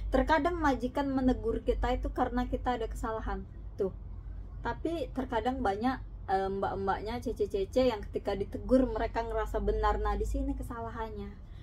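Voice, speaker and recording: A woman's voice: a little high, normal speed, darkish tone, faint music behind, poor audio. A woman who works abroad as a domestic helper, giving casual, earnest advice to fellow workers, laughing often and slipping in English words. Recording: noticeable background noise.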